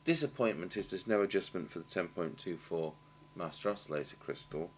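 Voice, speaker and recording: A British man giving a halting, matter-of-fact technical demonstration, thinking aloud as he works at a workshop bench. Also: low voice, lively intonation. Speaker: radio repair technician demonstrating. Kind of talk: unscripted technical demonstration commentary. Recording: clear background noise and hum.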